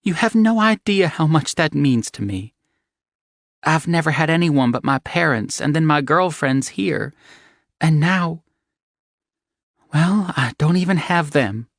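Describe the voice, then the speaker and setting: A man's voice: animated, unhurried, pauses often, fairly bright tone, dry recording, studio quality. A man voicing an anxious, tearful young woman who talks in a pleading, strained way. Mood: choked up. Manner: pleading, breathless, high and strained.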